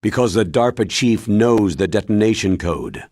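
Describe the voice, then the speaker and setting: A man voicing a grave, authoritative military commander in a video game, explaining in a measured, solemn way with a husky voice. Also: on the low side, animated, darkish tone, no echo, clean studio sound.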